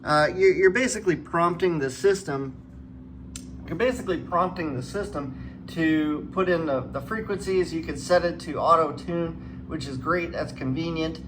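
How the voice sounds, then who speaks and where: Higher-voiced man, rambling over clear noise. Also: normal speed. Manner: rambling, thinking aloud. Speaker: flight simulator guide host. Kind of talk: casual hands-on commentary. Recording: clearly noisy room background.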